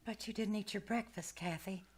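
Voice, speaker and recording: A woman's voice: mid-range voice, fairly even intonation, moderate pace, slightly rough, close to an everyday voice. A woman playing a kindly, fretful nanny in an old-time radio drama, coaxing in a motherly way. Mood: uneasy, soothing. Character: kindly, fretful nanny soothing a little girl.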